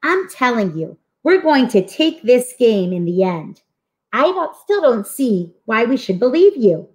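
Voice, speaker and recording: A woman voicing friendly, eager schoolchildren from a comic book in an expressive, earnest read-aloud. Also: fairly high pitch, measured pace. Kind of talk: animated storybook read-aloud.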